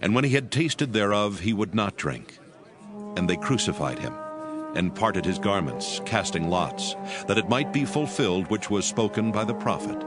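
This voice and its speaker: Deep solemn male scripture narrator. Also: big pitch swings, over music. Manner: solemn, measured reading.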